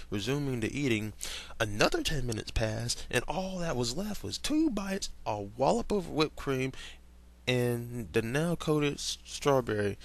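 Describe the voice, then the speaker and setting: Slow, gravelly young man reading aloud. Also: mid-range voice. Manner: stumbles over words and breaks off to comment and laugh. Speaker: fan fiction reader. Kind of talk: casual reading aloud with reactions.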